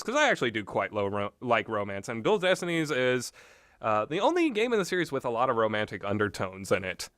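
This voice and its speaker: Guy just rambling his opinions no script, mid pitched voice, drifts off topic, swears a bit, really dry sound. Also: sharp tone, no echo. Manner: digressive, casual and opinionated, swears freely. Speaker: host of a video game discussion show. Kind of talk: unscripted rambling opinion talk.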